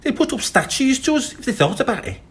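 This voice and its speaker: A man's voice: extremely high pitch, big pitch swings, fast talker, a bit bright, pitched above the performer's own voice, exaggerated voice. A man voicing a streetwise male talking cat with a wheedling, persuasive patter in an audiobook. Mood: scheming.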